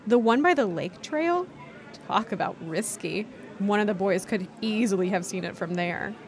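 A woman playing a nosy, scandalized teenage camper in an audio drama, talking casually and haltingly in disbelief. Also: medium pitch, animated, a crowd in the background, lightly acted. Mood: curious, scandalized. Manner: incredulous, halting casual teen talk. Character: nosy, scandalized teenage girl at summer camp. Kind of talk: naturalistic audio drama dialogue.

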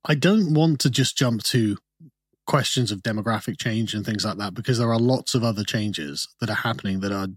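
Male commentator, husky timbre, measured explanatory delivery, close dry studio sound. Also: fairly low pitch, dry recording. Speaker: guest commentator. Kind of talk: podcast interview.

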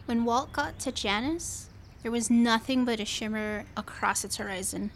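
Woman acting higher-voiced starship captain and tender mother. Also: moderate pace, a little hiss or hum, lightly acted. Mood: wistful storytelling. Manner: plainspoken, storytelling to a child. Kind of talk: audio drama acting.

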